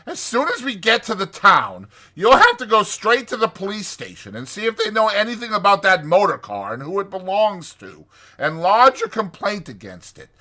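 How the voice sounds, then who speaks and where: A man voicing a bossy, exasperated male water rat for a storybook, speaking in a firm, sharp, emphatic way. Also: a little high, huge pitch swings, a little slow, few pauses, slightly rough, pitched above the performer's own voice, exaggerated voice. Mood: sharp, commanding.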